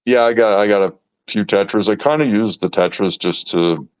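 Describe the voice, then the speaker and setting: A man's voice: lowish voice, moderate pace, no echo. A man in his fifties chatting casually about keeping fish, often stopping and repeating words as he searches for what to say. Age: 50s. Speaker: fish keeper. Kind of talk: casual chat. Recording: dull lo-fi sound.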